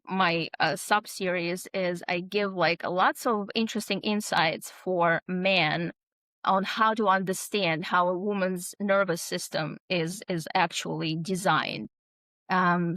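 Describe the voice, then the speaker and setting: A woman speaking as an interview guest, reflective and conversational, pausing often as she thinks aloud. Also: fairly low pitch, fairly bright tone, dry recording. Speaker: podcast guest, author.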